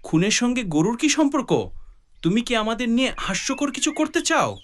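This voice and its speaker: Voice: mid-range voice, big pitch swings, normal speed, voice pushed up, lightly acted. A performer voicing a skeptical, demanding villager who keeps questioning in a cartoon religious story. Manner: insistent, questioning.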